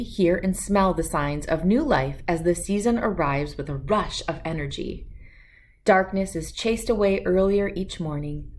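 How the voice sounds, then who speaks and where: A woman storyteller reads aloud for children at an unhurried pace. Her pitch moves freely up and down, and she phrases each line deliberately. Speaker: children's book reader and host.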